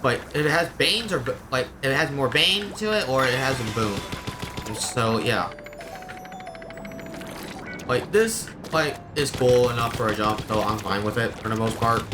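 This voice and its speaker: A man's voice: mid-range voice, normal speed, over music. A man giving unscripted live game commentary in a rambling, opinionated way, explaining as he goes. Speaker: game streamer. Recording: clear background noise.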